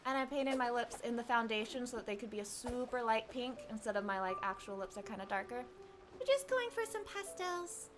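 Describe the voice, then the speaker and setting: A woman's voice: a little high, expressive, fairly quick. A woman livestreaming a game, chatting casually and playfully with her viewers. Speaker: live streamer. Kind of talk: casual livestream chat over gameplay.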